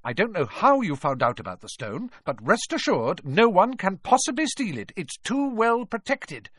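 A man's voice: sits high, big pitch swings, normal speed, fairly bright tone, husky, no echo, studio quality, voice pushed up, heavily acted. A man voicing a stern, haughty older woman professor with a cold, curt manner in an audiobook. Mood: haughty, insistent. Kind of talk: audiobook character voice.

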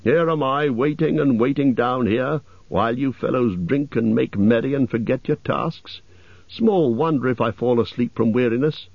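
남자가 연기하는 투덜대는 늙은 엘프 집사. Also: male voice, medium pitch, expressive, unhurried, vocal fry, hardly any pauses, no room sound, highs cut off. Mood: grumbling, put out. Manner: grumbling, growling, bossy. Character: grumpy old male elf butler, bossy and drowsy. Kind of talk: audiobook character voice.

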